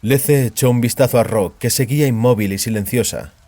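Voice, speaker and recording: A man narrating a dramatized story as scripted fiction, in a grave, deliberate storytelling manner. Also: mid-range voice, warm tone, dry recording. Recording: music behind the voice.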